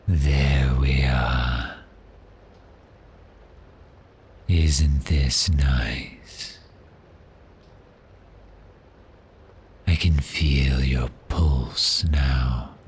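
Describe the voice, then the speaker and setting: A man performs a predatory vampire with an exceptionally low, breathy voice that often sinks into a half-whisper. He speaks extremely slowly, lingering on pauses, toying with his prey with gentle menace. It is an intimate ASMR roleplay, with a little noise behind the voice. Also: heavily acted. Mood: soothing, possessive.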